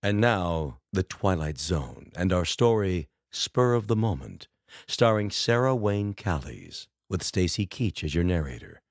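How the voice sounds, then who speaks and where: Dramatic male announcer, very low voice, strongly animated intonation, scripted radio drama introduction. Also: unhurried, full character voice.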